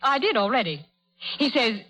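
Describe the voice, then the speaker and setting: An actress playing a frank, spirited young daughter in a vintage radio drama, with a brisk, teasing way of talking. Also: female voice, fairly high pitch, big pitch swings, sharp tone, poor audio. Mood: breezy. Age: young adult. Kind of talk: 1930s radio drama acting.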